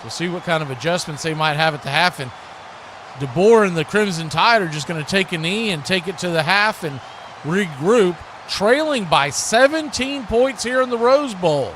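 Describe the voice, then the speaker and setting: A man delivers live play-by-play commentary in a bright, forward voice. It sits high for a man. There is steady noise behind him. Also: normal speed.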